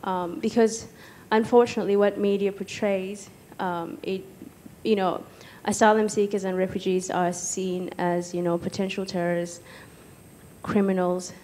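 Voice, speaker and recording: A young woman from Egypt speaking hesitantly as a panellist on stage, pausing often, into a handheld microphone. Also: medium pitch, little pitch movement, dark tone, a little hiss or hum. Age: young adult. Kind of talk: personal account in a panel discussion. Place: stage in an auditorium with audience.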